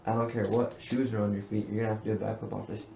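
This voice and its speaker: A man's voice: fairly low pitch, flat delivery, very fast, warm tone. Guy telling stories to his buddies, sweary bro slang, roomy echo, noisy behind him. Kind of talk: casual storytelling among friends. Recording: echoey room, clearly noisy.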